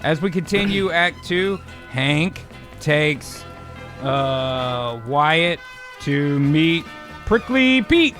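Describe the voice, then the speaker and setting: A man with a slightly high voice narrates a story for an improvised comedy show. He takes his time, breaking off into halting, informal banter, with music playing behind him. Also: expressive, fairly bright tone. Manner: profane, informal, halting asides.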